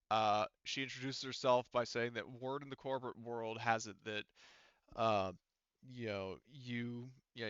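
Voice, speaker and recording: Man, game master, clear smooth voice, halting casual narration, dry sound, slightly dull recording. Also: medium pitch, a bit bright, no echo. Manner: halting, rambling, filler-heavy explanations.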